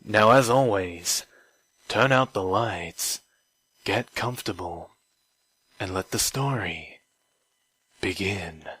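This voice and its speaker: A man narrating a scripted horror story in a measured, deliberate way with pauses, recorded on an old microphone with some odd noise. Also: fairly low pitch, fairly bright tone, slightly rough, dry recording, low-quality recording. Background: background music.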